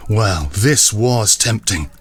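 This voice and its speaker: A man's voice: fairly low pitch, expressive, takes it slowly, husky. A man narrating a classic story as scripted, dramatised literary storytelling.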